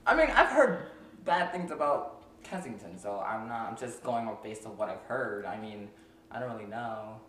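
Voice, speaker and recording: A young man from Philadelphia hosting a casual chat with a friend, chatty and quick to laugh, loose with slang. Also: fairly low pitch. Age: young adult. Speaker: podcast host. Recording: echoey room, mic a bit far, steady background noise.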